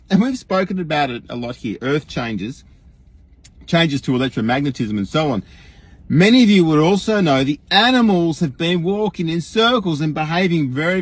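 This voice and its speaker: A man puts on an earnest Australian guru addressing his online followers. The voice is husky and swings widely in pitch. The delivery is folksy and emphatic, rambling along as a livestream rant full of rhetorical questions. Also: no room sound. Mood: earnest, alarmed. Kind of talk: mock livestream monologue.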